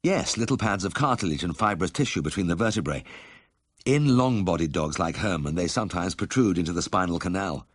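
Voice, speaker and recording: A man's voice: low-pitched, expressive, a little fast, no room sound, studio quality. A man voicing a kindly, careful country vet who explains things politely in a story reading. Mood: careful, explanatory. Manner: courteous, explanatory.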